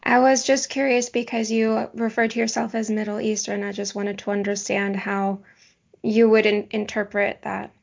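A woman's voice: medium pitch, level tone, moderate pace, creaky, lo-fi sound. A woman interviewer speaking in a casual, conversational way, asking tentative, considerate questions. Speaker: podcast interviewer.

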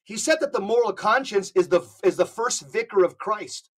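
A man speaking as a podcast guest, urging listeners with rapid lists of instructions. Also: high voice, fairly bright tone. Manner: urgent, exhorting, rapid lists. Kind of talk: casual podcast talk.

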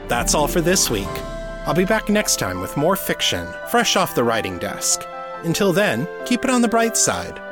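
A man hosting a solo podcast, reading a scripted update in an earnest, articulate way, close on the microphone. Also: medium pitch. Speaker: podcast host and fiction author.

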